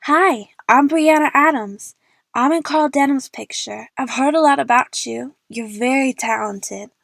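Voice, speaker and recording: A woman's voice: high-pitched, measured pace, vocal fry, fairly bright tone, no room sound, full character voice. A young woman playing an eager, chatty movie fan who narrates her adventure in the first person for an audio drama. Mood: gushing, stalling.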